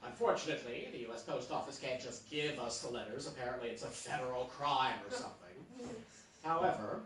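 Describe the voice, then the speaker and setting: A man's voice: medium pitch, animated, brisk, fairly bright tone, lightly acted. A man playing a showy, cheeky host of a holiday radio variety show on stage. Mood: showy, playful. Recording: very echoey theatre, far off, faint noise.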